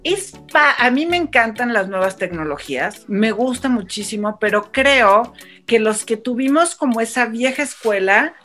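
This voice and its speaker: Higher-voiced woman in conversation. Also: a bit bright. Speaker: radio broadcaster. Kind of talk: reflective conversational talk.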